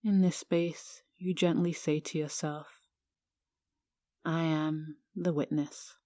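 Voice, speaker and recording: A woman guiding a meditation in a hushed, soothing, measured manner with long pauses. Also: sits low, steady tone, no echo, studio quality. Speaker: yoga teacher guiding a meditation. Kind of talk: guided meditation narration.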